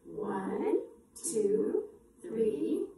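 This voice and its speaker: A woman's voice: fairly high pitch, sing-song, drawn-out words. A woman presenting a children's storytime, singing and introducing simple action songs with repetitive phrasing meant for young children. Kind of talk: upbeat children's singalong with short spoken introductions. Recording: echoey room, distant mic, faint noise.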